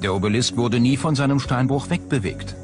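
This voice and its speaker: A man reading scripted documentary narration in a measured, factual manner. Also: medium pitch. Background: background music.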